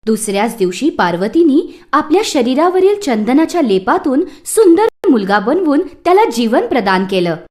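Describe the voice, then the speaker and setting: A woman narrating a scripted mythological story for children in an expressive, dramatic way. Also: high-pitched, moderate pace, hardly any pauses.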